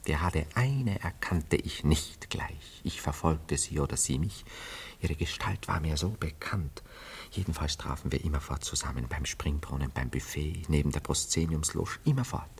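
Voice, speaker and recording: An actor playing a flippant, melancholy Viennese bachelor in a radio drama, theatrical and sentimental, moving between murmurs and exclamations. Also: male voice, very deep voice, moderate pace, vocal fry, slight background noise. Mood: excited confiding.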